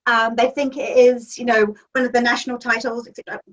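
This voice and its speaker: A woman's voice: on the high side, level tone, fast talker. A woman, a magazine editor chatting enthusiastically in an interview, with lots of ums. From New Zealand. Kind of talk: interview answers. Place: home office. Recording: distant, dull lo-fi call audio.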